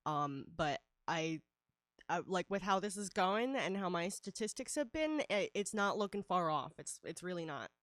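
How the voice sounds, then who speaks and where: A woman's voice: on the low side, animated, moderate pace, no room sound, clean studio sound. A woman livestreaming her art, talking casually with a nasal head cold and lots of fillers. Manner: chatty, laughs often, many fillers. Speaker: livestreaming artist. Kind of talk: casual streaming chat while drawing.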